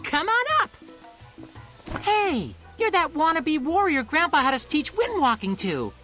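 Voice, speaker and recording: A woman's voice: high voice, big pitch swings, measured pace, fairly bright tone, soft background music, full character voice. A woman playing a cocky, bratty kid in a video game, boastful, haughty and taunting. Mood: smug, boastful. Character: cocky bratty kid boasting as self-declared top apprentice. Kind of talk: video game character acting.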